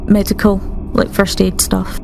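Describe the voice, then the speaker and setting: A woman's voice: mid-range voice, completely flat delivery, measured pace, gravelly, background noise. A woman chatting casually as a player in a tabletop role-playing game. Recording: music behind the voice.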